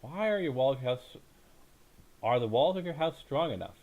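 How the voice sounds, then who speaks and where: A man's voice: mid-range voice, lively intonation, moderate pace, narrow, tinny audio, close to an everyday voice. A man voicing a wise, courteous royal minister in a storybook, speaking politely and in riddles.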